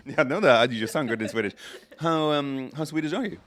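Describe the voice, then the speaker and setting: A man hosting a casual interview, chatty and teasing, with frequent quick affirmations. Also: on the high side, lively intonation, a bit bright. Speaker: host.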